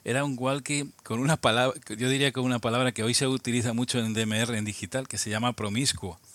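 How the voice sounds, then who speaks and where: A man in his sixties from Albacete with a Spain Spanish accent, a guest looking back on his past, speaking haltingly with frequent restarts. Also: medium pitch, fairly even intonation, a bit bright, dry recording. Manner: halting, many restarts and repeated words, reflective. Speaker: guest, amateur radio operator. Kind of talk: casual interview, reminiscing.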